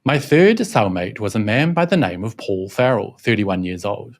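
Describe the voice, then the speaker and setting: A man's voice: on the low side, lively intonation, normal speed. A young man hosting a scripted news commentary, mocking and scornful in tone. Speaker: host, reporter. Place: Shanghai.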